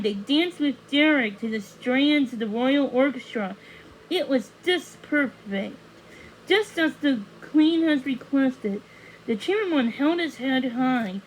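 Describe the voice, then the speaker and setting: A woman's voice: sits high, slight background noise, rough recording. A woman reading a children's storybook aloud as narration. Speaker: story reader.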